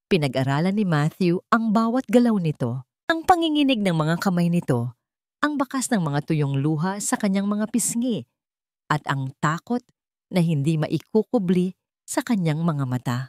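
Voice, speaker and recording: A Filipino woman reads a scripted romantic drama as narrator. Her voice sits fairly low for a woman and moves through wide swings of pitch. The sound is dry and close, with very little room. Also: big pitch swings, normal speed, darkish tone, no room sound. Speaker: story narrator.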